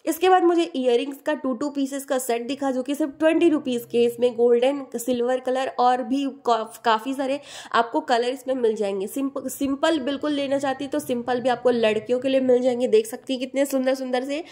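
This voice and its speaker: A woman's voice: high-pitched, steady tone, brisk, a little mellow. A woman vlogging a tour of an outdoor street market, chattily listing prices one after another. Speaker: shopping vlogger. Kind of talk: casual market tour narration.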